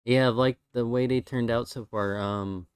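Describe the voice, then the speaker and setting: A man's voice: medium pitch, normal speed, smooth, no room sound, clean studio sound. A man streaming, chatting casually and rambling through his drawings while losing his voice. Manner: relaxed, rambling with fillers.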